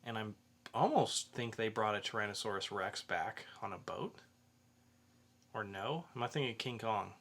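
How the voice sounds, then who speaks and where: A man's voice: on the low side, animated, normal speed, fairly bright tone. A man co-hosting a podcast, chatting casually about pop-culture news and freely offering opinions. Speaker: podcast co-host.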